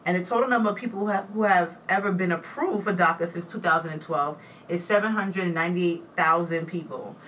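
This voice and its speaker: Distant, low-voiced woman reading facts. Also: a little fast, few pauses, fairly bright tone, slight background noise. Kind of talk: casual chat, reading out facts and figures. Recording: distant mic with faint room ambience.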